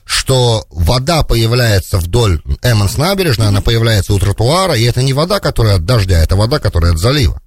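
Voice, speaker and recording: A man's voice: medium pitch, big pitch swings, no echo. A man hosting a radio political show and asking probing questions. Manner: quick, probing interviewer. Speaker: radio host.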